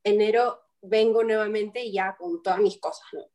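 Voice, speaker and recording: Lively young Peruvian woman telling a story. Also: mid-range voice, normal speed. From Peru. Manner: animated, storytelling with quoted voices. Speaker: interviewee. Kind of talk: casual interview chat.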